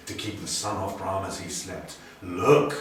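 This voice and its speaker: Man voicing a slow, menacing cobra in an echoey room. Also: low-pitched, pitched below the performer's own voice. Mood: proud menace. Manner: slow, drawn-out, deliberate menace. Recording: very echoey room, distant, faint noise.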